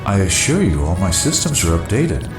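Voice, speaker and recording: An actor playing a formal, literal-minded, self-important artificial intelligence aboard a spaceship in a comedy audio drama. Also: male voice, low-pitched, very animated, normal speed. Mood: stiff, proud. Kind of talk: full-cast sci-fi comedy audio drama. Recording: roomy echo, music underneath.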